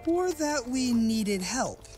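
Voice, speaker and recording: An earnest, excitable adventurer played by a man, high for a male voice and swooping widely in pitch. He sounds eager, in audio drama style over music. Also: takes it slowly, full character voice. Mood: excited. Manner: eager, exclaiming.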